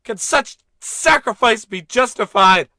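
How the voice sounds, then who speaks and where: A pompous, heroic American space captain, acted by a man in an old-time radio comedy. He speaks slowly and theatrically in a forceful, dry-recorded voice that swings widely in pitch, full of bumbling self-importance. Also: expressive, no room sound, exaggerated voice. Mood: solemn, melodramatic. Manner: pompous, melodramatic.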